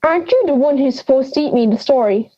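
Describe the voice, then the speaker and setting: A woman's voice: high voice, fast talker, warm tone, highs cut off, lightly acted. A young woman playing a cranky, forgetful old granny in an audio drama, brusque, sarcastic and theatrical. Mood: mocking. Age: young adult.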